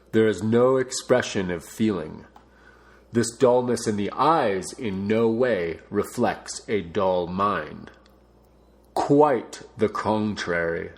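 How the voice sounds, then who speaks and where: A man reading a non-fiction book aloud as a narrator, with measured, deliberate phrasing. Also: lowish voice. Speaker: audiobook reader.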